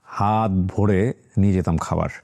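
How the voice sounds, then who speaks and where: A man hosts a life-story interview with a Bangladeshi accent. His voice sits on the low side and has a dark, mellow tone. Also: steady tone, a little slow, creaky. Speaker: talk show host.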